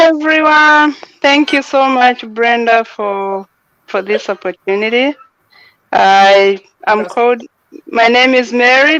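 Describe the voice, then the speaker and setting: A woman's voice: on the high side, a bit bright, dry recording. A woman from Rwanda, a panel guest chatting casually on a video call with many fillers and restarts. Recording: dull lo-fi call audio.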